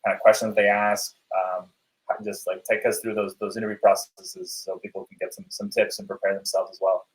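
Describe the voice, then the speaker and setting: A man's voice: lowish voice, little pitch movement, fairly quick, low-quality recording. A man hosting a live webinar interview, upbeat and loose, with many fillers and restarts. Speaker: webinar host.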